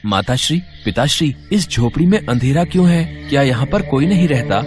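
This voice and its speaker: A man's voice: medium pitch, animated, fairly quick, a little mellow, soft background music, close to an everyday voice. Man playing a cheerful young boy god. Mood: cheerful, curious. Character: young Ganesh, cheerful devoted child god, kindly. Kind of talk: animated devotional storybook acting.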